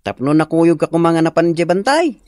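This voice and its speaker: Actor as earnest, lively young Ilocano nephew. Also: male voice, a little high, fairly quick, dry recording, studio quality, close to an everyday voice. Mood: concerned. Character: caring, dutiful young nephew. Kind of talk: Ilocano radio drama acting.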